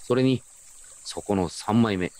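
A man's voice: fairly low pitch, a little slow, darkish tone, dry recording, lightly acted. A man playing a wry, nostalgic grown grandson, telling his story casually and reflectively in a radio drama. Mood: knowing. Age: adult. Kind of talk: first-person radio drama narration and dialogue.

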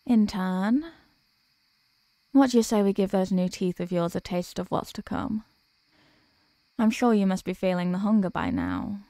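A woman acting as a devoted, centuries-old vampire girlfriend in an intimate ASMR roleplay, speaking softly and formally in a caressing, protective way. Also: lowish voice, dry recording, lightly acted. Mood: gentle, coaxing. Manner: soft, slow, formal, caressing delivery. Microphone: close microphone.

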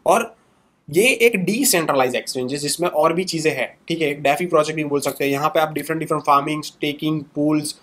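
A young man hosting his own channel, talking through charts in a casual, conversational way and closing many sentences with quick tags and fillers. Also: fairly high pitch, a bit bright. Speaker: crypto channel host. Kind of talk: casual screen-share market analysis.

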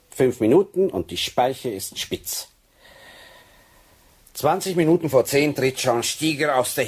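An actor playing a methodical police sergeant in a radio crime drama, speaking deliberately and insistently with a husky voice. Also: male voice, medium pitch, a little mellow. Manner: deliberate, insistent, explaining step by step. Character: methodical Swiss police sergeant laying out a case.

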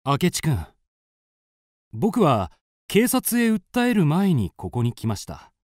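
An actor playing an earnest young amateur sleuth in a radio drama, narrating and reasoning in a deliberate, dramatic, polite way. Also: male voice, a little high, wildly swooping pitch, darkish tone, no room sound, studio quality, full character voice. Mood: confident accusing. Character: earnest young male amateur sleuth, confidently accusing his friend. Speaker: narrator and lead character.

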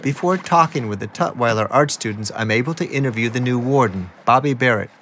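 A man's voice: mid-range voice, a little fast, a bit bright, a little hiss or hum. Man narrating a radio documentary.

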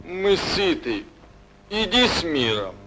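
Cartoon henchmen: a man voicing dim gruff wolves, wildly swooping voice, over 1940s film music. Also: high voice, very animated, slow pace, background noise, exaggerated voice. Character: dim-witted male wolves, obedient henchmen.

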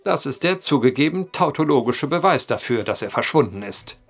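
An actor playing a brooding, eloquent storyteller at a bar in an audio drama, wordy and theatrical. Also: male voice, mid-range voice, lively intonation, brisk, soft background music. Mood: brooding, insistent.

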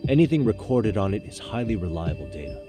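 A man's voice: lowish voice, very animated, dark tone, soft background music. An actor playing a composed, persistent defense lawyer in his thirties, speaking formally in a courtroom drama game. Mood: serious, insistent. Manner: measured, formal, insistent.

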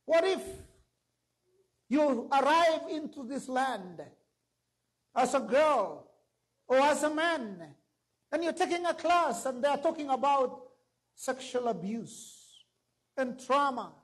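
A man's voice: very high voice, animated, slow, pauses often, poor audio. A middle-aged man preaching a sermon from a church stage into a handheld mic, emphatic and rising to exclamations. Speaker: pastor.

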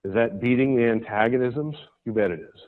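American man, folksy and persuasive, presenting at a conference, thin phone-like sound. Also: brisk. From United States. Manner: folksy, persuasive, asks "okay?" often. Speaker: conference speaker, cattle breeder. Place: conference hall stage. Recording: tinny, phone-like low-fi recording.

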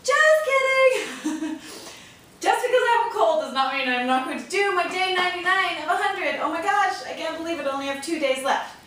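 Give me a chatty young woman with a croaky cold voice, coaching a workout, recorded from a far camera. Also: very high-pitched, animated, fairly quick, a bit bright, a little hiss or hum. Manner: chatty, upbeat, counts reps while exercising. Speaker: fitness vlogger. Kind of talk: casual workout vlog with coaching. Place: large garage with metal door. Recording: echoey garage from far off.

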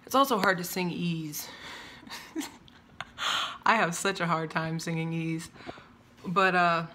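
A young woman rambling in a casual vlog rant, with a loud, projecting voice, chatty and prone to wandering off and interrupting herself, recorded on a phone held at arm's length in a room at home. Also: fairly low pitch, takes it slowly, slight background noise. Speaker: vlogger. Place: indoors by large windows, home room. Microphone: phone camera at arm's length.

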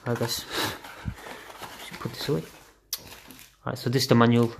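A man chats his way through an unboxing in a flat, offhand voice, dropping the odd swear word as he thinks aloud. He is recorded on a phone held above a table at home late at night. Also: medium pitch, moderate pace, vocal fry, background noise. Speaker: unboxing video host. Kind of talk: casual unboxing chat. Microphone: phone camera filming hands on a table.

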